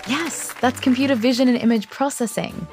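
A woman's voice: on the high side, normal speed, darkish tone, soft background music. A woman presenting theatrically as a game show host.

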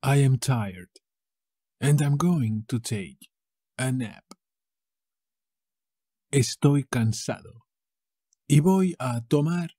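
A man from Spain with a Castilian Spanish accent, teaching a language lesson, saying each phrase slowly and repeating it with variants. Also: medium pitch, warm tone, slightly rough, no room sound, studio quality.

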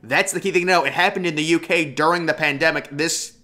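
A young man commenting on news from a home webcam setup, talking in an indignant, rapid rant with frequent restarts. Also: a little high, sharp tone. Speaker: political commentary vlogger. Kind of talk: opinionated screen-share commentary.

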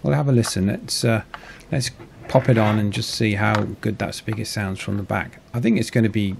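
A man's voice: lowish voice, moderate pace, vocal fry, background noise. A man casually narrating his hobby build in a modest, understated way. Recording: music behind the voice. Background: guitar music.

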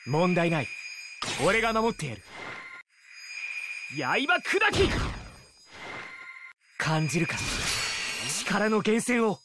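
A man's voice: sits high, very animated, slow pace, long pauses, background noise, exaggerated voice. An actor voicing a proud, driven young male swordsman in a battle game, with intense, dramatic delivery. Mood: cool, aloof, then fierce battle cries. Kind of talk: anime game battle voice lines, shouted attack names. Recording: game sound effects over the voice. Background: battle sound effects.

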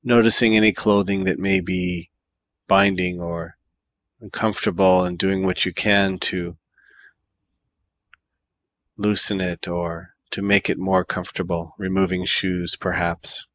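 A man leading a guided meditation in a calm, measured way, with deliberate phrases and pauses between them. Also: low voice, no echo. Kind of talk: guided body scan meditation.

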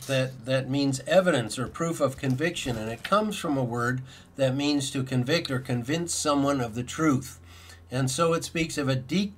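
A man's voice: medium pitch, a bit bright, rough recording. A middle-aged man pastor giving a sermon from a desk in an office, earnest and explanatory, asking rhetorical questions and repeating his points. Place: indoor office with bookshelves.

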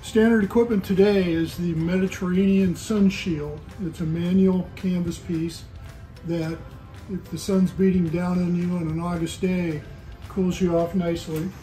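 A man's voice: sits high, steady tone, a little slow, over music, rough recording. A man, giving a matter-of-fact walkthrough tour of a boat in an indoor showroom. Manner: matter-of-fact, listing features.

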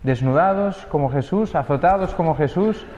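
A young priest from Spain, speaking with a Spain Spanish accent, gives a conversational homily at Mass, full of rhetorical questions and little tag words. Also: male voice, a little high, moderate pace, a little mellow. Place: church. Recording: dull, lo-fi audio with long church reverb.

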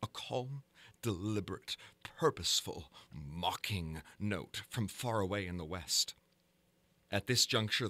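Bright, dramatic male horror narrator. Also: fairly low pitch, no room sound. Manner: theatrical, deliberate, swelling from hushed to intense. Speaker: narrator reading a horror story. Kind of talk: dramatic reading of gothic horror fiction.

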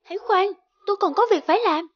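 High-voiced young peasant girl. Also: fast, no echo, highs cut off. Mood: cautioning. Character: kind, brave peasant girl of a fairy tale. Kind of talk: cartoon fairy-tale acting.

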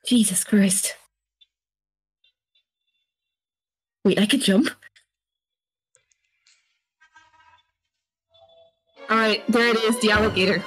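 A woman's voice: mid-range voice, steady tone, fast talker, few pauses, close to an everyday voice, poor audio. A woman voicing an exasperated, dutiful cat heroine, dryly resigned, in an improvised comic game dub. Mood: urgent, nagging. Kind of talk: improvised comedic video game fandub. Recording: clear music under the voice. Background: music.

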